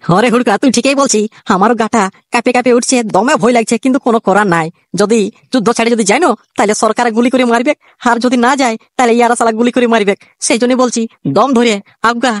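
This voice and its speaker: A voice artist voicing two jittery young male soldiers in a comic cartoon, with a Purulia Bengali accent and rapid, chatty banter. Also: mid-range voice, fairly even intonation, dry recording, studio quality, natural voice.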